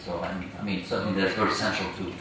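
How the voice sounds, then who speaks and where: Low-voiced panelist in an echoey hall. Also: male voice, little pitch movement, very fast. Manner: hesitant, many fillers and restarts. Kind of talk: conference panel discussion. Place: large conference hall. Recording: echoey hall, distant mic, noisy.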